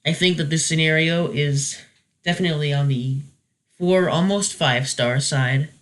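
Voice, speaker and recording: A man's voice: on the high side, unhurried, a bit bright. A man hosting a casual, unscripted review, talking in a hesitant, rambling way and often correcting himself. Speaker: reviewer hosting a weekly video series.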